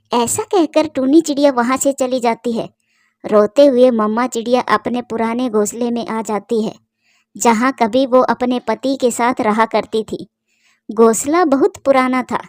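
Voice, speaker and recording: A woman's voice: fairly high pitch, a little mellow, no room sound, studio quality. A woman narrating a scripted cartoon story.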